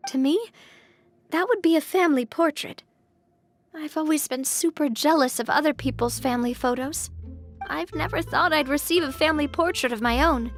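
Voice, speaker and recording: A woman's voice: sits high, normal speed, faint music behind. A woman playing a sincere, sensitive young lady boss in a video game, speaking in an earnest, reflective way. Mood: grateful, reflective.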